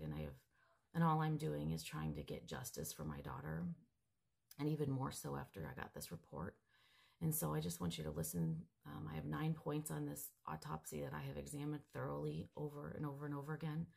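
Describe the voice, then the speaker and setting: A mother, hoarse and raspy from a cold, earnestly reading out a report and stumbling over medical terms. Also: deep voice, darkish tone. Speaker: grieving mother seeking justice. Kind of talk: direct-to-camera update, reading from a report.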